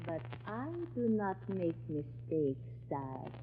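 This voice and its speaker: An actress playing a deadly exotic villainess who speaks with mock politeness and chant-like menace in 1940s radio style. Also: female voice, low voice, expressive, full character voice. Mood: menacing, hypnotic. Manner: slow, incantatory, mock-polite. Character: exotic villainess, cobra charmer, silky and deadly. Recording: tinny, noisy old radio recording.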